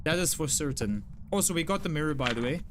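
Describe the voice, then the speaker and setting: A fairly high-pitched young man from South Africa speaks with an Afrikaans English accent, chatting easily with his stream viewers. He repeats short phrases and leans on "like" as a filler. A faint hiss sits behind his voice. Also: expressive, fast. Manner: chatty, apologetic, frequent self-repeats and "like" fillers. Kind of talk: casual live-stream chat reading chat messages.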